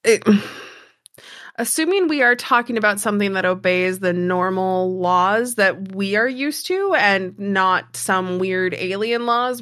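A woman playing a tabletop game, improvising casually in character with a halting, wry delivery. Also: medium pitch, fairly bright tone, no echo, studio quality.

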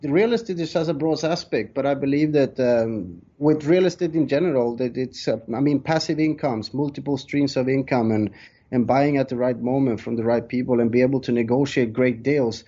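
A man's voice: mid-range voice, brisk, warm tone, slightly rough. A man in his thirties from Sweden with a Swedish accent, answering interview questions conversationally as a guest. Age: 30s. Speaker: interview guest, real estate developer. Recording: dull, lo-fi call audio.

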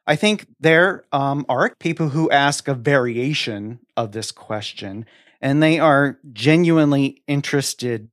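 A man's voice: medium pitch, unhurried, a bit bright, no echo. A man with a mid-range voice speaks as a podcast co-host. His delivery is conversational, as in a panel discussion. The recording is dry and free of room sound.

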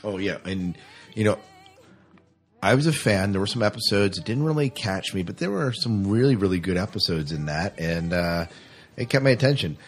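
A man's voice: sits low, lively intonation, normal speed, faint music behind. A man hosting a podcast in a casual, conversational style. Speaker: podcast host. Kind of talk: casual discussion.

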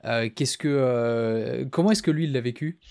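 A man with a mid-range voice acts as interviewer in a relaxed conversation. He phrases his questions informally and haltingly, often adding small words of agreement. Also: medium pitch, vocal fry. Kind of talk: casual chat interview.

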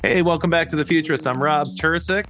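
A man's voice: on the high side, fast talker, music in the background. A man hosting a podcast, interviewing a guest in a friendly, upbeat way. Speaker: podcast host.

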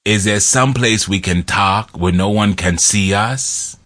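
A man voicing a big barbarian warrior in a slow, halting way, close to his own voice. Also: lowish voice, fairly bright tone. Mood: guarded.

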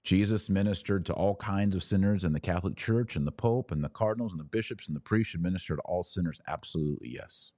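A man's voice: sits low, fast talker, hardly any pauses, mellow, no echo, studio quality. An American man speaking as a podcast host in conversational religious commentary, emphatic, often in short repeated phrases.

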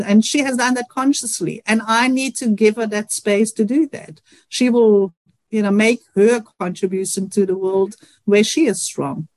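A woman's voice: mid-range voice, no echo. A middle-aged woman with a Dutch accent, an engineering professor answering interview questions earnestly and reflectively, with many "you know" fillers. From Netherlands.

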